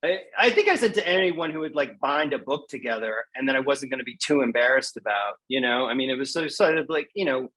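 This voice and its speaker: Man, mid-range voice, conversational guest, self-deprecating, animated storyteller, candid. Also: mid-range voice, fast, hardly any pauses. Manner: self-deprecating, animated retelling with quoted asides. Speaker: guest poet. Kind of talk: candid conversational interview answers.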